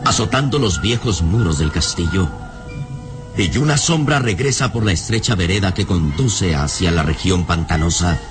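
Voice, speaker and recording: A man with a fairly deep voice narrates an old Latin American suspense serial. His delivery is solemn and theatrical, full of foreboding. Dramatic music plays clearly behind him. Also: expressive, normal speed.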